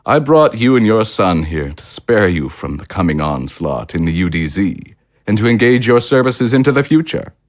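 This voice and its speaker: Man playing a deep-voiced, pompous, suave leader. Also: sing-song, moderate pace. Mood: self-satisfied, persuasive. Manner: pompous, formal, elaborately polite diction. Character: suave, grandiose male political leader, courteous and self-satisfied. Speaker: actor in an audio drama. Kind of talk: theatrical audio drama acting.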